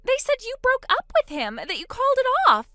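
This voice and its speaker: A woman voicing a frightened young woman who pleads and panics in a high, strained voice. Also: very animated, normal speed, fairly bright tone, no room sound, studio quality, exaggerated voice. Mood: panicked.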